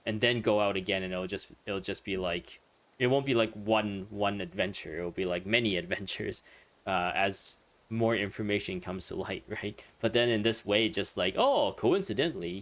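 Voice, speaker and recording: A man's voice: fairly low pitch, a bit bright. A man giving casual, rambling game commentary in a wry, amused manner. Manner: wry, amused, chuckles while musing. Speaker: let's play streamer commenting on a game. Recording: game music playing behind. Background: video game music and dialogue.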